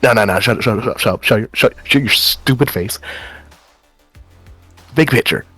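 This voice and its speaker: A man's voice: mid-range voice, a little slow, pushed and bright, breathy edge, no room sound, faint music behind. A man playing an angry, distraught, foul-mouthed demon slayer in an improvised anime roleplay, stammering and repeating words. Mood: furious.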